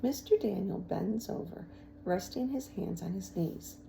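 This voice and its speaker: A woman's voice: medium pitch, a little slow, creaky, noisy background. A woman narrating a children's novel aloud in the manner of a teacher reading to a class.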